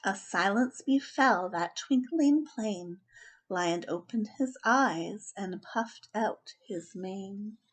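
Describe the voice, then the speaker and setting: A woman hosting a children's story time, speaking and reading a picture book aloud in an expressive, deliberate storytelling style. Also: mid-range voice, slightly rough.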